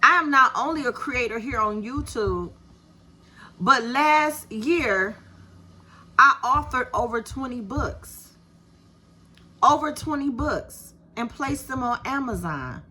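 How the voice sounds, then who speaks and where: A woman in her fifties with a soft voice, talking straight to her viewers in a casual, encouraging way and calling them "baby". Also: sits high, low-quality recording. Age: 50s. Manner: animated, encouraging, talks to viewers with "baby" and "you hear me". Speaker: YouTube creator. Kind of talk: casual direct-to-camera talk.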